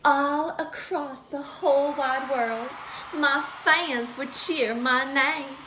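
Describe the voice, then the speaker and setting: A woman acts out a vain, starry-eyed storybook pony. Her voice is very high and bright, and she lingers over her words. She gushes dramatically and folksily, as if confiding in children. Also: slow, slight background noise, heavily acted, low-quality recording. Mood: starstruck, vain. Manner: gushing, dramatic, folksy, addresses listeners as y'all. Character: excitable, vain, dreamy female pony who longs to be a unicorn. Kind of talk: animated first-person storytelling for children.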